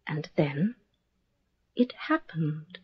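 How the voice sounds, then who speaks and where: An actress playing an anxious wife in a radio drama, earnest, dramatic and halting as she speaks. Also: low voice, very animated, breathy edge, heavily acted. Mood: grave, haunted. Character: anxious, distressed wife recounting an African curse. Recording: muffled lo-fi radio drama recording.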